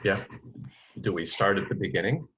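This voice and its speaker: Deep husky-voiced man, measured. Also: lively intonation, fairly bright tone, rough recording. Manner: measured and articulate, with occasional fillers. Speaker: lettering artist, guest. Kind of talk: casual interview answers.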